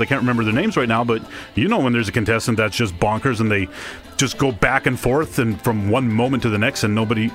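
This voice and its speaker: Man chatting emphatically over music. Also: mid-range voice. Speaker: podcast co-host. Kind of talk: casual chat about TV. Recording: music behind the talk.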